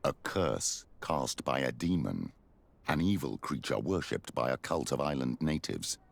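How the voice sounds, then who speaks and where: A grave, courteous churchman from a fantasy game, with a gravelly bottom-range voice. He speaks formally and deferentially, yet his pitch swings expressively. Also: lively intonation, measured pace, exaggerated voice. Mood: solemn, urging. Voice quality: very deep, gravelly. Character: grave, courteous old clergyman of an inquisitorial church. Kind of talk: video game cutscene acting.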